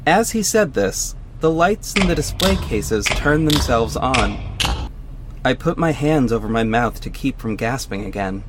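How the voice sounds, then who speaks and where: A man's voice: mid-range voice, animated, a little slow, noisy background, close to an everyday voice. A man playing a vigilante hero, narrating his own story in scripted first person for an audio drama. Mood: awed.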